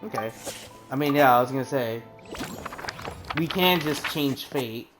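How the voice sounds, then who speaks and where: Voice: mid-range voice, measured pace. A game streamer casually thinking aloud and reacting during gameplay. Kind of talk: live gameplay commentary. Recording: game music playing behind.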